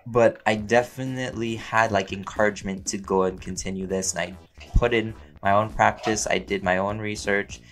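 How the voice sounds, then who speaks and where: A man chatting casually and playfully as he answers fan questions, rambling along in an expressive way. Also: on the low side, moderate pace. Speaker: voice actor and audio roleplay creator answering fan questions.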